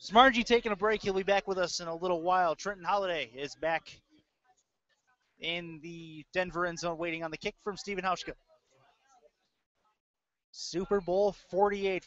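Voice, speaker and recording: High-pitched American man calling football. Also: fairly even intonation, moderate pace, pauses often, fairly bright tone. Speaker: play-by-play sports announcer. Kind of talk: live American football radio-style commentary. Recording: dull, lo-fi webcast audio.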